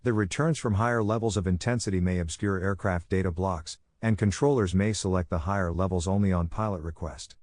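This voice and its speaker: Man, low-pitched voice, dry close sound, scripted technical audiobook narration, measured instructional delivery. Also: a little mellow, no room sound.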